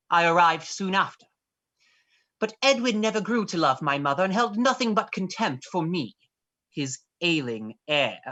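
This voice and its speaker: Woman cast as disinherited schemer, male, for a play reading: formal and insinuating, lowish female register with very lively inflection. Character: bitter, scheming disinherited male heir, coldly blunt. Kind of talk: dramatized stage play script reading.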